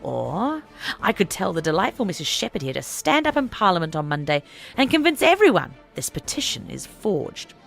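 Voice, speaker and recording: A woman voicing a menacing, smooth-talking male villain with a purring, taunting manner in an audiobook. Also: fairly low pitch, very animated, normal speed, faint music behind. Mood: threatening. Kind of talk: audiobook character voice.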